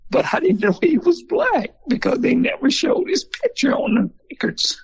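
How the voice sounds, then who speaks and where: Husky older man telling stories. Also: very high-pitched, normal speed, dry recording, dull audio. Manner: folksy, animated storyteller. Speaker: museum founder and former country singer. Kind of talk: storytelling in an interview.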